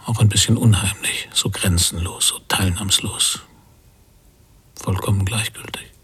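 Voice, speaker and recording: An actor playing a pensive emigrant father at sea in a radio drama, speaking breathily in halting, introspective phrases, often near a whisper. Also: low-pitched, fairly even intonation, a bit bright, slightly rough, heavily acted. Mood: brooding, hushed. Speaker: narrator and lead character. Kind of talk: literary radio drama monologue.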